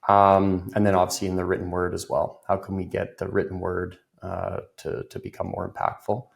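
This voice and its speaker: A man's voice: deep voice, completely flat delivery, a little fast, gravelly. A man from Ireland, speaking as an interview guest with a voice slightly off from a cold, chatty and full of fillers. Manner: loose, filler-heavy, laughs easily. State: recovering from a cold, voice a bit off. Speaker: guest, business consultant. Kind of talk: conversational interview.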